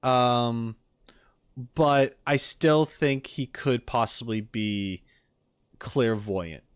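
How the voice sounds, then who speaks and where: A man streaming casually as he reacts to game videos, rambling and joking in an animated way. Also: medium pitch, very slow, clean tone, dry recording, studio quality. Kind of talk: casual livestream chat.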